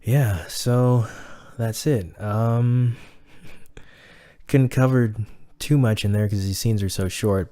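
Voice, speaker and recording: A man's voice: low voice, warm tone, no room sound. A man speaking as a director, giving casual, reflective commentary in an informal way. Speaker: audio drama director.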